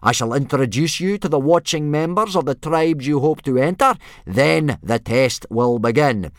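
A man voicing a loud, ill-tempered, pompous Viking warrior instructor barking commands in a children's storybook. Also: a little high, moderate pace, dry recording, clean studio sound, voice pushed up, natural voice. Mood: pompous, commanding. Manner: bellowing, blustering, pompous commands.

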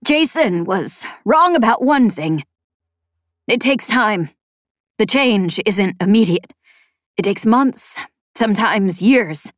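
A woman voicing an earnest, knowing male guide in an audiobook, speaking in a measured, formal way. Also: medium pitch, lively intonation, no room sound, clean studio sound. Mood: pained, hoarse.

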